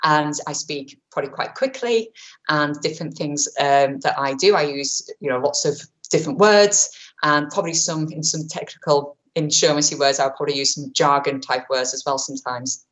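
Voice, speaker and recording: A woman presenting an online training webinar, talking quickly in a chatty way with many fillers and self-corrections, into a webcam mic. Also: low voice, rough recording. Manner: says she has a powerful voice. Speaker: webinar presenter on vulnerable clients.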